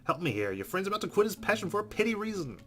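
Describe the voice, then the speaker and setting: A man's voice: high voice, very animated, very fast, fairly bright tone. A man hosting a let's play, reading game narration aloud and adding casual comments. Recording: game music behind the voice.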